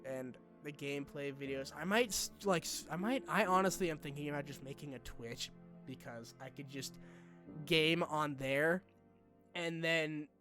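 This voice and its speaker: A young man talking casually and unscripted like a YouTuber to followers, candid, self-deprecating and halting, with informal phrasing. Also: on the high side, over music. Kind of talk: casual unscripted vlog talk.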